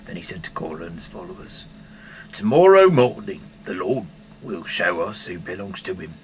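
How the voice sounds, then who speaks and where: A man reads aloud informally, rambling in a halting, bumbling, irreverent way, in an amateur home recording. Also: low voice, lively intonation. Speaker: amateur reader of scripture, self-styled rock singer.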